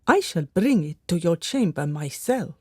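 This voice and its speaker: A woman's voice: fairly low pitch, big pitch swings, moderate pace, husky, no echo, studio quality. An actress playing a pious, devoted lady's maid in a radio drama, fretful and deferential. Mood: fussing, soothing.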